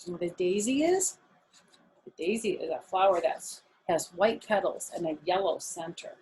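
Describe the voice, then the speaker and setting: A woman's voice: on the low side, animated, normal speed, a little mellow, poor audio. Older woman reading stories to children. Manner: explains words plainly to young listeners. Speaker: grandmother reading a picture book to children. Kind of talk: storybook read-aloud with simple explanatory asides.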